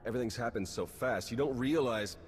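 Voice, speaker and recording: An actor playing a self-doubting, wry secret agent in a science fiction game cutscene. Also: male voice, medium pitch, brisk, lightly acted. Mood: pleading. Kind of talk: video game cutscene acting. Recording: clearly noisy background.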